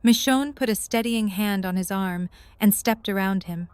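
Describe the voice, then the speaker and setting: A woman's voice: mid-range voice, normal speed, clear, resonant tone, no echo. A woman reading scripted story narration for an audio drama.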